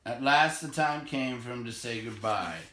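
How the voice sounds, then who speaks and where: A man's voice: mid-range voice, moderate pace, bright, forceful tone, reverberant, slight background noise. A man narrating, reading a storybook aloud.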